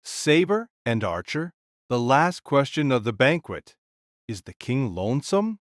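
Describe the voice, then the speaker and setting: A man's voice: medium pitch, sing-song, a little slow, no room sound, studio quality. A man voicing a proud, commanding warrior king in an audio drama, with grandiose, declamatory delivery. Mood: booming, lecturing.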